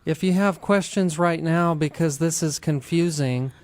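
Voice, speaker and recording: Clear, smooth-voiced male radio host. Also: a little high, unhurried, a little mellow, dry recording. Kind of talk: conversational radio interviewing.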